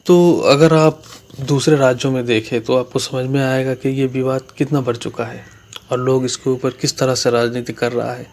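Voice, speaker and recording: Man giving news commentary, narrow pitch range. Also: steady tone, moderate pace, darkish tone. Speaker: YouTube channel host.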